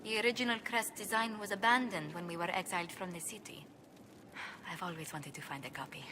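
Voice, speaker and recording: A woman's voice: lowish voice, a little fast, background noise, lightly acted. An actress playing an earnest, proper, easily flustered noblewoman in a video game, with a polite, precise, formal manner. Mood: wistful, composed. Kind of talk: video game cut-scene acting.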